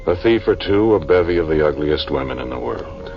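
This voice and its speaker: An actor playing a haunted archaeologist in a 1940s radio drama, telling his eerie story in a brooding, deliberate, dramatic manner. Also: sits low, lively intonation, vocal fry, noisy background. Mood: brooding, entranced. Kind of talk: 1940s radio acting, first-person narration. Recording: muffled vintage radio recording, music underneath.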